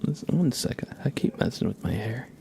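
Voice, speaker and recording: A man hosting a live ASMR stream in a soft, often whispered voice, chatting informally in loose, hesitant phrases. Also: medium pitch, animated, fairly quick. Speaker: ASMR livestream host. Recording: clear background ambient noise.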